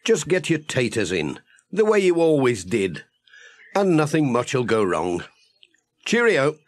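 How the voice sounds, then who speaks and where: A man's voice: medium pitch, wildly swooping pitch, measured pace. A man voicing a friendly, easygoing village postman in a children's audiobook, homely and good-humoured. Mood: reassuring. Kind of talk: children's audiobook character reading.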